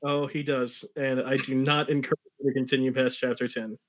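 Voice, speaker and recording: A man's voice: medium pitch, flat delivery, fairly quick, rough recording. A man chatting casually as a guest commentator, musing in a dry, hesitant way. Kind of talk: casual chat.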